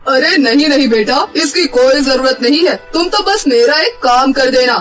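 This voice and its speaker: Man acting high-pitched cartoon landlord uncle. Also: moderate pace, a bit bright. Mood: friendly. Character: middle-aged landlord uncle, blunt and demanding. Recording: distant voice over faint music.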